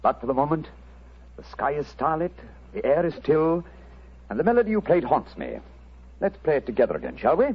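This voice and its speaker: An actor playing a calm, cryptic middle-aged detective in a 1940s radio drama, measured and matter-of-fact with a teasing edge. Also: male voice, a little high, husky, heavily acted. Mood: calm, wry. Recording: muffled old radio broadcast.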